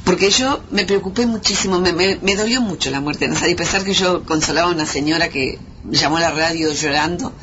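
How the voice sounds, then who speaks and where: A woman's voice: fairly low pitch, a little fast, few pauses. An older woman with a Uruguayan accent, a radio actress guest reminiscing casually and emphatically, repeating herself. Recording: dull, noisy archive radio tape.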